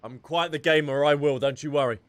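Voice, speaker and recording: A woman's voice: sits low, fairly quick. A woman commentating casually as she plays through a game story, chatty and giggly, answering the characters on screen. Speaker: gaming streamer playing through a story quest.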